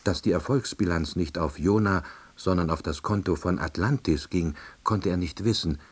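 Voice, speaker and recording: Subdued middle-aged East German salesman, low pitch, rough-edged voice, deliberate, dry radio drama narration. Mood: dry, baffled. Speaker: narrator and lead character. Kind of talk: radio play first-person narration.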